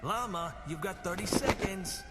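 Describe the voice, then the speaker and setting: An actor playing a stern police commissioner in a radio drama, giving orders with authority. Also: male voice, high voice, moderate pace, lightly acted. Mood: stern warning. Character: stern, commanding male city police commissioner. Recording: music under the voice.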